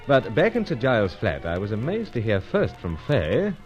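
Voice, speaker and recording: An actor playing a suave, gentlemanly secret agent in an old-time radio adventure serial. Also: male voice, fairly low pitch, huge pitch swings, normal speed, muffled recording, soft background music. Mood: dramatic narrating. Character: suave, self-assured male British secret agent narrating his adventure.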